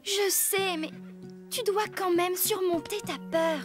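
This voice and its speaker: A woman voicing a kind, earnest teenage girl rider in a French cartoon dub, expressive and encouraging. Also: very high voice, slow, music in the background. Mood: earnest, reassuring.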